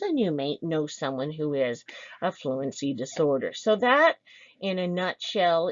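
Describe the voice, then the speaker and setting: A woman's voice: deep voice, no room sound, highs cut off. A woman presenting a program information session, explaining things step by step with fillers and restarts. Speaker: program instructor.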